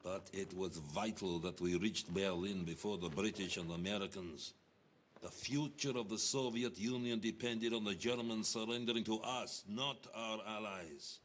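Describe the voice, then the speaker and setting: A man acting as a cold, dogmatic Soviet official in a video game cutscene, measured and condescending. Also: lowish voice, fairly bright tone, slight background noise, natural voice. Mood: cool, dismissive.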